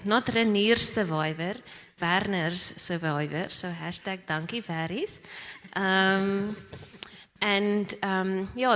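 A young woman from Zimbabwe sharing a personal testimony at church, earnest and soft-spoken, with many pauses and "um"s. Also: lowish voice. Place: church hall. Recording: long reverb of a church hall.

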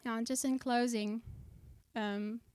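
A woman from South Africa preaches with earnest conviction. Her voice sits fairly high, and she slows down to read scripture. The sound is close and dry, with little room echo. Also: steady tone, a little mellow, smooth. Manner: conversational, with fillers and restarts. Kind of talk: sermon.

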